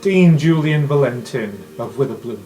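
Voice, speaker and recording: A man's voice: mid-range voice, lively intonation, moderate pace, warm tone, soft background music, close to an everyday voice, rough recording. A man voicing a stern, imperious vampire professor who speaks formally and with menace in a tabletop game. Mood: cold, suspicious. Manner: commanding, threatening, formal.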